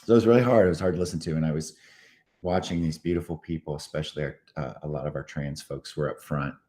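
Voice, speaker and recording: A tired man hosts a casual morning show in a very deep, mellow voice. His throat is hoarse and he keeps clearing it. He talks haltingly, with plenty of ums and uhs. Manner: frequent throat clearing, halting with many fillers. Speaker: host of a short morning show.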